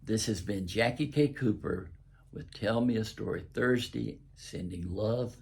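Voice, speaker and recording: An older man with a Southern US accent and a raspy voice, reading his own story aloud in a folksy, deliberate storytelling way. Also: lowish voice, a little mellow. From southern United States. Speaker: host and author reading own stories.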